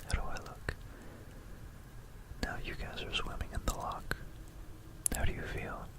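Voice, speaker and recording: An ASMR host telling history in a breathy, unvoiced whisper, meandering with playful asides to the listener, close to a binaural microphone. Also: unhurried.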